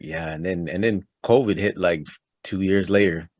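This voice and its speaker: A man from Toronto hosting a casual interview, upbeat and chatty and quick to laugh. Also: deep voice, darkish tone, no room sound, studio quality. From Toronto, Canada. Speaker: podcast host.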